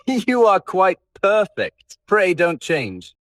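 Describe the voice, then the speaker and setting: A man voicing a witty, cynical upper-class gentleman with a languid, flippant manner for an audiobook. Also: high voice, wildly swooping pitch, extremely slow, no room sound, studio quality, voice pushed up, exaggerated voice. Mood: amused, cynical. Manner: languid, flippant, epigram-making. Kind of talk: audiobook character voice.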